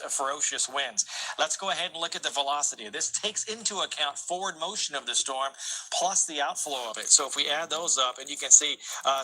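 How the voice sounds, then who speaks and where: Male American weathercaster, higher-pitched and very bright, forceful delivery, explanatory live commentary, faint noise behind. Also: rough recording. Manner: explanatory, thinking aloud. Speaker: TV meteorologist.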